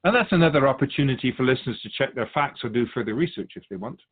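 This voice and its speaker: A man playing a patient, lofty spirit guide who teaches in measured, formal phrases in a scripted audio drama. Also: medium pitch, expressive, narrow, tinny audio, lightly acted. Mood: patient, explanatory. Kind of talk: scripted dialogue in a fiction podcast.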